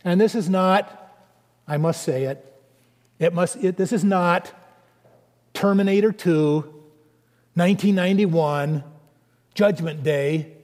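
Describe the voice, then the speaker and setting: High-voiced male preacher in a reverberant hall. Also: takes it slowly, long pauses. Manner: animated preaching with conversational asides. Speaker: pastor. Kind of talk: sermon.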